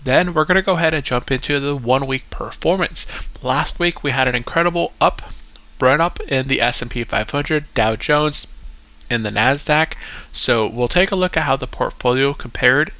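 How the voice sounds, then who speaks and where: A man's voice: mid-range voice, normal speed, bright, forceful tone, highs cut off. A man hosting a casual investing vlog, a little stuffy and congested as he walks through his portfolio in an upbeat way. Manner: upbeat, keeps saying "go ahead". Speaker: investing channel host. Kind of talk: casual finance vlog walkthrough.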